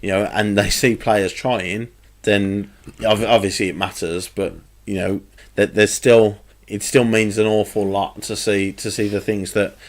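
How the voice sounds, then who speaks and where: A man with a fairly low voice co-hosting a relaxed football chat in a small home studio. He talks earnestly, rambling and restarting his sentences as he goes. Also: unhurried, hardly any pauses. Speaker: co-host. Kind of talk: casual chat.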